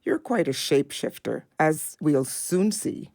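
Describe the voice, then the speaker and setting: A woman playing a gentle, hopeful dog in an audio drama, calm, measured and tender. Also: deep voice, no echo, studio quality. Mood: calm, solemn.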